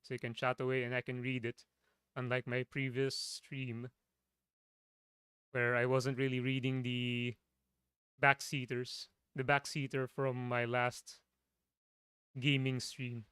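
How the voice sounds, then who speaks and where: A man from the Philippines, a streamer with a low voice, chatting casually from his home setup, rambling and restarting his sentences. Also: monotone, slow pace, pauses often, fairly bright tone, smooth, no room sound, clean studio sound. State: voice tiring.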